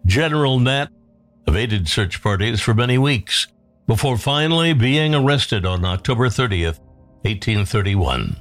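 A man's voice: medium pitch, very animated, measured pace, soft background music. A man hosting a history show with dramatic scripted narration. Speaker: host.

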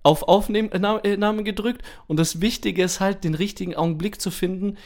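A man hosting a podcast in casual chat, informal and often halting to correct himself mid-sentence. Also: high voice, fairly even intonation, darkish tone. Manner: informal, halting, self-correcting with repeated false starts. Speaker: podcast host.

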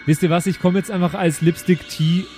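A man's voice: high voice, fairly even intonation, a little fast, mellow, faint music behind. A man casually improvising his character's actions as a player in a tabletop roleplay.

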